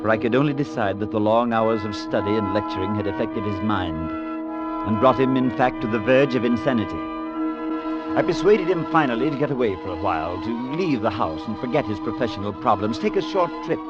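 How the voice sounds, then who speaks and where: An actor playing a calm, skeptical priest who also narrates, speaking in a measured, firm way in a 1940s radio drama. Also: male voice, fairly low pitch, natural voice. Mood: solemn. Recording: dull old radio recording with music.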